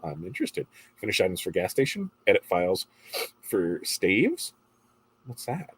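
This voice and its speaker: A man's voice: lowish voice, big pitch swings, moderate pace, slightly rough, low-quality recording. A middle-aged man with a congested voice hosts a casual morning livestream, chatting and reading viewer comments in a rambling way.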